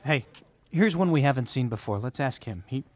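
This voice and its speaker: Animated, sarcastic younger-sounding brother, played by a man. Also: mid-range voice, fairly quick, natural voice. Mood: eager, joking. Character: Joseph's skeptical, wisecracking brother, loyal and excitable.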